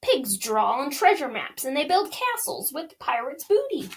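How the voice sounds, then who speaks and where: A woman voicing a gruff, bossy old male pirate captain in a storybook reading. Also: high-pitched, big pitch swings, unhurried. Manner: growling, blustery.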